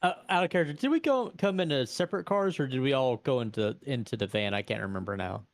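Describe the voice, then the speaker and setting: A man's voice: medium pitch, expressive, fast talker, no echo, studio quality. A man playing a tabletop roleplay, chatting casually and hesitantly, often repeating himself as he thinks. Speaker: tabletop game player.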